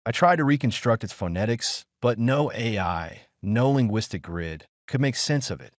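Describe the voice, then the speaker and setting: A man voicing a gentle, thoughtful scholar and pilot in a sci-fi audiobook, earnest and careful. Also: mid-range voice, lively intonation, normal speed, dry recording, studio quality, close to an everyday voice. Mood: quietly amazed. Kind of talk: sci-fi audiobook character acting.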